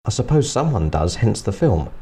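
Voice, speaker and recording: A man presents a scripted comic review in a low, mellow voice. His delivery is dry and sarcastic, like a wry commentary on what he has been watching. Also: sing-song, normal speed. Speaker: presenter of a film review series. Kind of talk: scripted comedic review narration.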